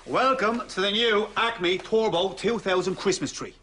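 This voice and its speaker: A man's voice: sits high, a little slow, pushed and bright, a little hiss or hum. An actor playing a cheerful young delivery man giving eager sales patter in a sitcom. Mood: eager, chirpy.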